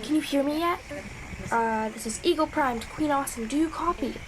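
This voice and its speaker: A woman's voice: high-pitched, fairly quick, close to an everyday voice. A woman playing a young girl scout alone in a radio drama, childlike and earnest, anxious but determined. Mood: lonely, earnest. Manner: childlike, earnest, self-reassuring. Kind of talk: audio drama monologue as radio transmission. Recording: clearly noisy radio transmission with ambience.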